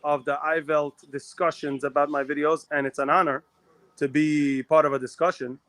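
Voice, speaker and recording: A man from Israel co-hosting a live talk show, talking fast in a rambling way with many restarts. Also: on the high side, flat delivery, fairly bright tone. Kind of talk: casual live chat.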